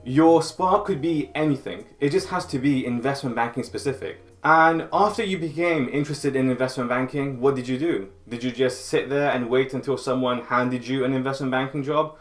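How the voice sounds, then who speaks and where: A man's voice: mid-range voice, normal speed, hardly any pauses. A man giving direct, advisory career coaching in a scripted instructional video. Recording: roomy sound with faint music.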